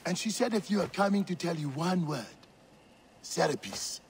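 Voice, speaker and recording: A man playing a warm, teasing village protector and loyal friend in an ancient-world video game. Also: fairly high pitch, expressive, moderate pace, slight background noise. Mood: genial, teasing. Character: genial male village protector, warrior's teasing old friend. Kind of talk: dramatic video game acting. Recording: music behind the voice.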